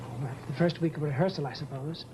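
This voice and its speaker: An actor playing an unworried, dismissive theatre colleague, matter-of-fact, in a mid-century suspense drama on a noisy broadcast. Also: male voice, fairly high pitch, fairly even intonation, quick pace, over music, close to an everyday voice.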